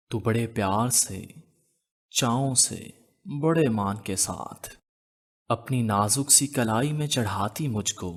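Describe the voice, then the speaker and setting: A man voicing a romantic young man who recites love poetry slowly, lingering over and repeating lines in an audio novel. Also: lowish voice. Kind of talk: slow Urdu poetry recitation.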